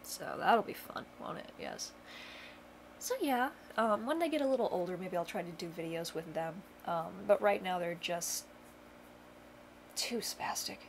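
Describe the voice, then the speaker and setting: A woman's voice: lowish voice, expressive, unhurried, slight background noise. A young woman chatting casually to camera at home, rambling with lots of fillers and dry self-mocking humor. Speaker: vlogger. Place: home bedroom.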